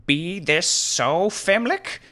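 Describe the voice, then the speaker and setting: A man's voice: medium pitch, very animated, slow pace, bright, forceful tone, voice pushed up, heavily acted. A man voicing a pompous, grandiose old bearded wizard who declaims in mock-archaic speech for a comic fantasy story. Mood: menacing, grandiose.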